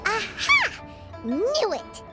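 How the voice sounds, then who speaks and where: A woman voicing a tiny, chirpy, bossy fairy companion in a video game, with an excitable childlike manner. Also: very high voice, drawn-out words, over loud music, heavily acted. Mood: excited. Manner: excitable, refers to self in third person.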